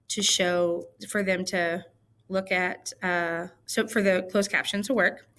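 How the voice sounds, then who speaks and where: A woman's voice: medium pitch, fairly bright tone. Chatty woman teacher explaining a tool. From Missouri, United States. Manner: chatty, explanatory, with frequent fillers. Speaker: ELL coordinator and teacher. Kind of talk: screen-recorded tutorial.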